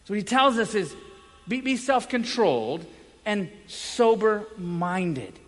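Male pastor, higher-pitched voice, emphatic sermon delivery with weighty pauses, recorded in a reverberant hall. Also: a bit bright. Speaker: pastor preaching. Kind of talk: evangelical sermon. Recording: echoey hall with long reverb.

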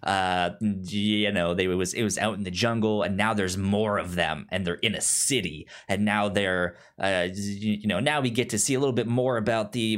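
A man co-hosting a podcast, talking casually about films, a bit halting and repeating his words. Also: lowish voice, a bit bright. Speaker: podcast co-host.

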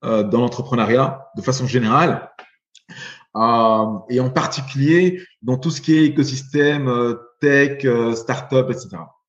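A man in his forties, raised in Belgium, speaks as a podcast guest at a middle pitch, halting, with constant fillers and restarts. His voice echoes around the room and the sound is somewhat dull. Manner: halting, many fillers and repeated words. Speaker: guest, investor. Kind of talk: podcast interview.

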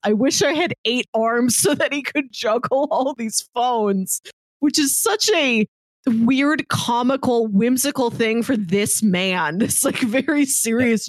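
A woman's voice: fairly high pitch, expressive, unhurried, no room sound. A woman co-hosting a podcast, casually discussing film and theater and acting out quotes as she retells scenes. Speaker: podcast co-host.

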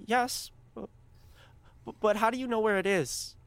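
A woman's voice: a little high, measured pace. A woman playing a timid but eager twelve-year-old boy in an audio drama, speaking hesitantly with frequent stammers. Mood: anxious, hesitant. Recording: roomy, echoing sound.

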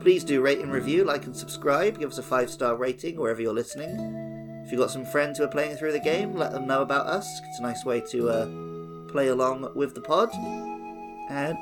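A man's voice: medium pitch, moderate pace, over music. A man co-hosting a podcast, talking casually about video games. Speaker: podcast co-host. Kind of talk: casual discussion of a video game.

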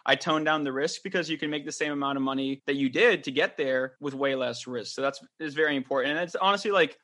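A man's voice: fairly high pitch, fast, fairly bright tone. A young man co-hosting a podcast, whose first language is French, talking casually in a rambling way with lots of fillers and restarts. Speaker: podcast co-host.

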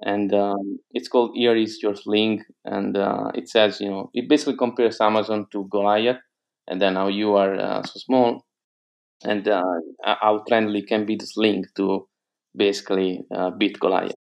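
A man with an Italian accent, a non-native English speaker, chatting casually as an interview guest and talking haltingly with many fillers and restarts. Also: lowish voice, level tone, creaky. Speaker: guest entrepreneur.

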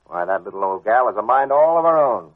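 An actor playing a wisecracking, gruff but decent private detective in a 1940s radio drama, talking in sardonic slang. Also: male voice, mid-range voice, animated, brisk, dry recording, close to an everyday voice. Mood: sardonic, annoyed. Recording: muffled old radio drama recording.

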